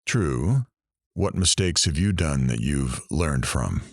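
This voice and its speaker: In a dry, close recording, a man acts as a wry radio host. His voice is deep with lively pitch movement, delivering news and questions in audio drama style. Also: takes it slowly, no echo, full character voice. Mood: inquiring.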